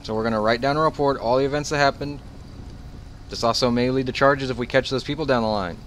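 Guy as matter of fact cop in roleplay game, talks fast, some noise behind. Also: mid-range voice, steady tone, fairly bright tone, lightly acted. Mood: firm. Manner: firm, procedural, plain-spoken. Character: matter-of-fact male sheriff's officer questioning a detainee. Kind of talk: improvised online police role-play. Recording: gaming voice chat with background noise.

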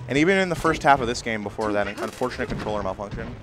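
A man with a naturally loud voice calling a live tournament match, quick to burst into excitement at big moments. Music plays clearly underneath him as he talks. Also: on the low side. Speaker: esports commentator. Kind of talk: live play-by-play commentary. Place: tournament venue.

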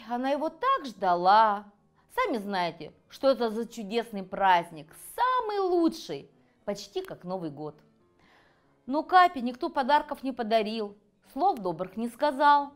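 Animated, higher-voiced woman storyteller. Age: middle-aged. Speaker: children's writer reading her own tale. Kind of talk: expressive children's storytelling. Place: cosy furnished room set, indoors.

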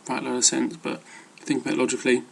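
A man talks off the cuff like an amateur fitness vlogger. His voice is gravelly and stays in a narrow, monotone range. He hesitates and rambles loosely over slight ambient noise. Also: medium pitch, racing. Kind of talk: casual rambling advice talk.

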